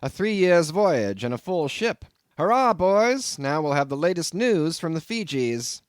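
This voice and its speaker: A man's voice: on the high side, sing-song, a little slow, smooth, dry recording, studio quality, voice pushed up. A man voicing a jovial, sly innkeeper in an audiobook, with rustic dialect and a hearty, teasing manner. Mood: excited. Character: jovial, sly, folksy old-time innkeeper landlord. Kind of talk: audiobook character voice.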